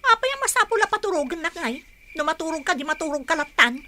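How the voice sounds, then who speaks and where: Querulous young-at-heart nagging wife, Filipino accent, high bright voice, swooping intonation, radio drama. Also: female voice, big pitch swings, fast, slight background noise, full character voice. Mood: dismissive. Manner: querulous, retorting. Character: sharp-tongued, discontented nagging wife.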